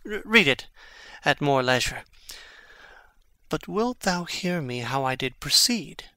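Male actor as intense young prince, Shakespearean audio drama, crisp voice, close dry sound, theatrical phrasing. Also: on the high side, expressive, sharp tone, no room sound, exaggerated voice. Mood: urgent, conspiratorial. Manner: theatrical, emphatic, deliberate phrasing. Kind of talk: classical Shakespearean dramatic reading.

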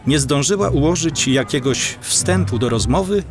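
A man narrating a scripted audio drama in a measured, descriptive manner. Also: a little high, expressive, music in the background.

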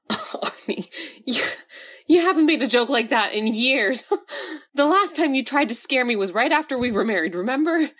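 High-voiced actress doing a scared wife lost in a tunnel, stammery and pleading, radio play. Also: female voice, animated, normal speed, long pauses, fairly bright tone. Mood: teasing. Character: nervous wife led into a dark tunnel. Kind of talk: radio drama acting.

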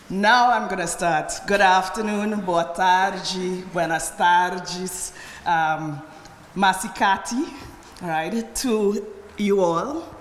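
A woman's voice: lowish voice, a little hiss or hum. Trinidadian woman speaking in an echoey hall. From Trinidad and Tobago. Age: middle-aged. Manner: measured, personal, tags lines with "right?". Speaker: conference speaker. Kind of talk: academic conference talk. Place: large lecture hall. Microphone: podium microphone from a far camera. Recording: echoey hall recorded from afar.

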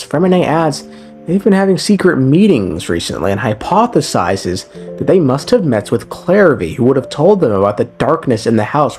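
A young man recaps a game story in a casual but scripted way. His mid-pitched voice swings up and down in a lively fashion, with dry, irreverent, slangy asides. Faint guitar music plays underneath. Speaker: game lore video narrator.

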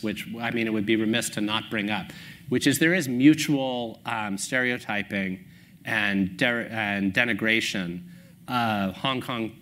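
A man's voice: mid-range voice, sharp tone. A man in his fifties, a guest on a panel at a public talk, speaking thoughtfully and often restarting as he forms his points. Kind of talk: panel discussion. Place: stage at a public talk, indoors.

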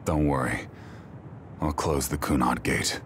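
A man's voice: very deep voice, fairly even intonation, a little slow, noisy background. A man playing a grim, weary antagonist in an anime game dub, brooding and terse. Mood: calm, resolute. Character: grim, weary adult male antagonist granting a wish.

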